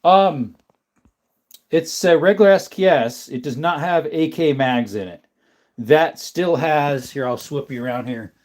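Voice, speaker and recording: A man with a slightly raised pitch hosts a casual livestream from a room at home. He rambles along easily, reading viewer questions aloud and thanking people by name as he goes. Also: measured pace, a little mellow. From not from the American South. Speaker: livestream host.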